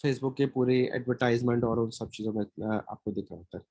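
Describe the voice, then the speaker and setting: A man's voice: mid-range voice, a little fast, mellow, dry recording. A man from Pakistan with a sore throat, giving a recorded lecture as a marketing trainer, often checking in with his listeners. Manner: explanatory, checks in with "theek hai?" often.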